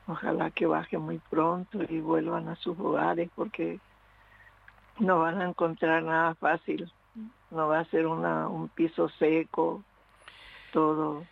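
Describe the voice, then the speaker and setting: A man calling in to a radio show over a tinny phone line. His voice is very gravelly and husky, and he speaks slowly in a flat, earnest tone. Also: on the high side. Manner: slow, earnest, prayerful wishes. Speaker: radio caller. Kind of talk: phone-in chat.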